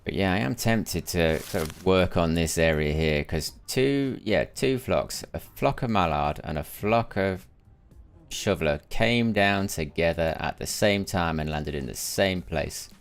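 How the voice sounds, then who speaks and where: A man's voice: low voice, measured pace, a little hiss or hum. A tired man chatting through his gameplay on a live stream, musing aloud and often restarting his sentences. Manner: chatty, muses aloud, often repeats words while restarting. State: tired after a sleepless night, voice lower than usual. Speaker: gaming streamer. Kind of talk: casual live gameplay commentary.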